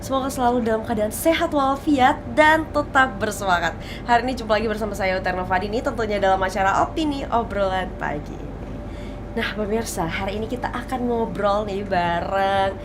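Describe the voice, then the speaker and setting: A woman's voice: medium pitch, brisk, music in the background. A woman hosting a morning TV talk show, chatting and teasing her guest in a light, playful way. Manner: chatty and teasing, laughs often. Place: TV studio. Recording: noticeable background noise.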